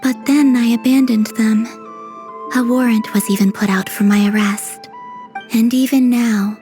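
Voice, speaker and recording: Actress as reflective young woman, slightly high voice. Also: fairly even intonation, unhurried, warm tone, faint music behind, lightly acted. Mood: earnest. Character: reflective, earnest young woman, gentle yet frank. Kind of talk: video game voice acting.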